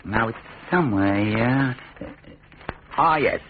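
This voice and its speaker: Tinny radio sound, ironic common-man narrator, bookish, dry, expressive pitch, radio drama. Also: slow, slight background noise. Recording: tinny, band-limited radio drama recording.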